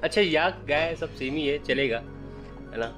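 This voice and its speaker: A man's voice: fairly high pitch, unhurried, over music. A man, a travel vlogger talking casually and curiously, asking questions and repeating words. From Patna, Bihar, India. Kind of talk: casual chat. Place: small village house and snowy lanes outside. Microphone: handheld camera at arm's length.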